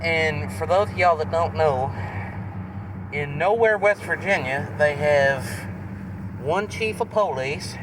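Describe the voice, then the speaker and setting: A man's voice: fairly high pitch, takes it slowly, distant mic. A man chatting casually as a vlog host, in a folksy, emphatic way. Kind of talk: casual chat. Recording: noisy outdoor recording.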